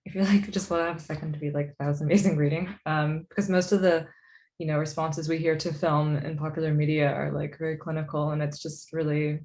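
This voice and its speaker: A woman's voice: deep voice, flat delivery, fast, darkish tone. A woman talking informally on a video call, a little hesitant and apologetic, often saying "like". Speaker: comics artist, event presenter. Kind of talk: casual, informal talk. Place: virtual event over video call.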